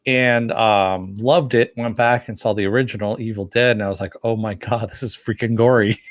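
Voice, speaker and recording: A man's voice: lowish voice, fairly even intonation, moderate pace, dry recording. A man co-hosting a podcast, chatting casually and reading out listener comments. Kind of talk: casual fan podcast discussion.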